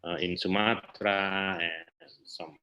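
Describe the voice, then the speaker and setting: A man's voice: deep voice, flat delivery, takes it slowly, muffled recording, slight background noise. A man with an Indonesian accent answering interview questions informally, in hesitant phrases with repeated words. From Indonesia, north coast of Java. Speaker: interview guest, theatre artist and festival director.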